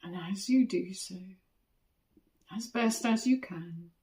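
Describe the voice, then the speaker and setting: A woman meditation teacher leading a guided body scan in a gentle, soothing manner, with long pauses between short phrases. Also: mid-range voice.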